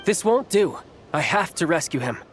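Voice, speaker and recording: An actor playing an excitable, cheeky young warrior in a video game, with an expressive, boyish delivery. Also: male voice, fairly high pitch, normal speed, a little hiss or hum. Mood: frustrated. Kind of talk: English video game voice acting. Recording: game music behind the voice.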